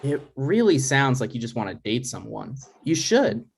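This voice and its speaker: A man's voice: mid-range voice, sing-song, moderate pace, vocal fry, a little hiss or hum. A man reads the part of a grown son in a comedy script. His intonation moves around a lot. He sounds dry, hesitant and put-upon, in a natural conversational way. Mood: dry, exasperated. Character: exasperated, dry, reluctant adult son, struggling writer.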